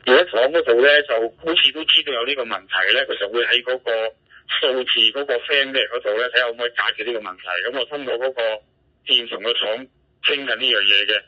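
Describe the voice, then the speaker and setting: A man with a Hong Kong Cantonese accent chatting casually as an amateur operator over a two-way digital radio. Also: quick pace. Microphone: radio transceiver microphone. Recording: muffled low-fidelity digital radio transmission.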